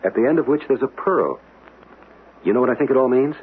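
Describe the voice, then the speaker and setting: Earnest, expressive radio-drama gentleman. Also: medium pitch, fast, slightly rough. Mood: intrigued, musing. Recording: tinny band-limited radio recording with hiss.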